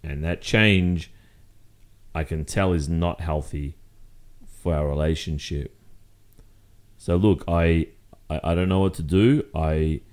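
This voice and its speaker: A man with an Australian accent acting a jealous, exasperated boyfriend confronting his partner in a conversational roleplay monologue, halting and insistent. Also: very deep voice, a little mellow. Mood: restrained, exasperated.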